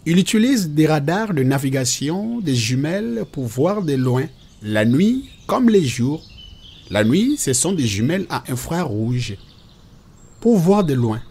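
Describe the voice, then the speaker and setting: Slow, expressive father played by a man. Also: a little high, husky, full character voice. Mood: explaining. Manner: didactic, reassuring. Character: patient father teaching children about God and nature. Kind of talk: explanatory children's cartoon dialogue.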